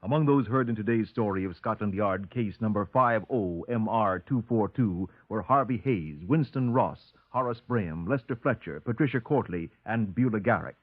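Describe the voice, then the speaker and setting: A tinny, echoey old radio recording carries a male announcer with a lowish voice. He delivers each phrase formally, measured and authoritative, in 1950s broadcast style.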